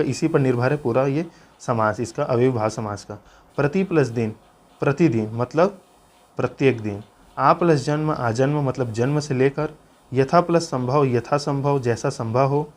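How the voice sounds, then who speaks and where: A man teaches an exam-preparation lesson, explaining notes aloud in an instructive way and pressing listeners to remember key points. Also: mid-range voice.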